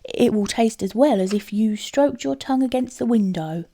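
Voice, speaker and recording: Woman playing a sly, greedy storybook tomcat, animated. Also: a little high, normal speed. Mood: dismissive. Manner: smooth-talking, dismissive, emphatic. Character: sly, greedy male cat, smug and domineering. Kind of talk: storybook character voice.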